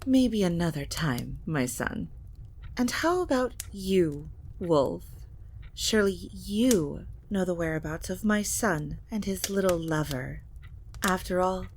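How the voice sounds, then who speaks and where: An imperious vampire matriarch acted by a woman speaks in a low voice with measured, icy courtesy. Every phrase carries a polite threat, as in a gothic audio drama. There is clear noise behind the recording. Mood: icy, threatening. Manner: slow, deliberate, coldly polite threats. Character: imperious, menacing vampire matriarch, mother and lady of the castle. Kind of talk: audio roleplay drama acting.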